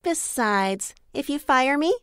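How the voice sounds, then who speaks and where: Actress as high-voiced, perky superhero sidekick. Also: female voice, lively intonation, takes it slowly, sharp tone, no echo, clean studio sound, exaggerated voice. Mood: brightly businesslike. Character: eager, perky superhero sidekick woman with businesslike streak. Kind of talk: comedic audio drama acting.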